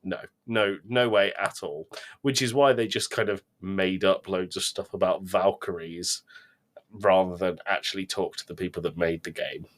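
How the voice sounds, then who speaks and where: A man's voice: sits low, steady tone, moderate pace. A man, chatting casually as a podcast co-host. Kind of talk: casual chat.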